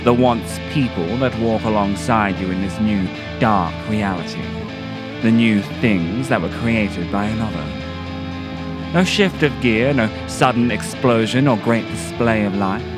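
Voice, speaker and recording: A man with a low voice narrates a scripted video essay. His delivery is deliberate and theatrical, with literary phrasing. Music plays clearly behind him. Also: poor audio. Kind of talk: scripted narration, dramatic horror-tinged monologue and video essay.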